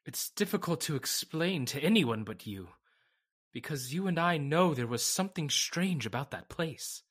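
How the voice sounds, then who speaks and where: A man playing a shaken, earnest witness in old-time radio drama, speaking in a halting, dramatic way with stammered repetitions. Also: a little high, lively intonation, fairly bright tone, breathy edge. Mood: uneasy, awed. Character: shaken, earnest man recounting an uncanny fire, Mister Lamont.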